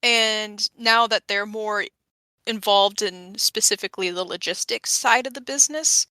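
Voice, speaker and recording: Female tabletop player, bright forceful tone, dry close recording, casual wry explanations. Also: mid-range voice, measured pace, dry recording. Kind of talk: casual in-game chat.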